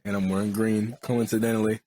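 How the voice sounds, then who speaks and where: A man's voice: lowish voice, little pitch movement, brisk, mellow, slight background noise. A young man with a Philadelphia accent, sick, hosting a podcast in casual joking chat that is full of laughs. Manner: joking, laughs often, rambling. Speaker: podcast host.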